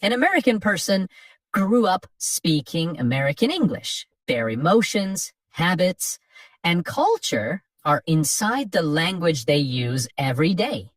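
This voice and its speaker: A woman narrates an English lesson for beginners in short, simple sentences, taking her time with each one. Her voice sits a little low but moves up and down with lively emphasis. She sounds set back from the mic in a dry space with no echo. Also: unhurried, far from the mic. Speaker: English teacher. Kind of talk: slow scripted lesson narration for learners.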